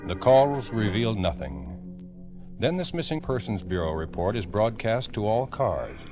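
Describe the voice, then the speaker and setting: A man narrating a scripted 1950s radio drama in a grave, deliberate storytelling manner on an old, dull recording. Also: on the low side, big pitch swings. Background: dramatic music.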